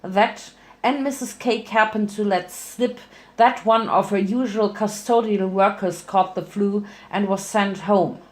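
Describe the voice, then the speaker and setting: A woman's voice: mid-range voice, fairly even intonation, measured pace. A woman narrating fan fiction as a dramatic reading, occasionally stumbling and correcting herself.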